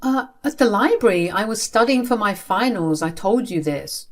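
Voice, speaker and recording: A woman's voice: on the low side, animated, normal speed, fairly bright tone. A woman voicing a wronged teenage daughter who argues back in an exasperated, pleading way in a dramatized story. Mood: tired, defensive. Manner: pleading, indignant.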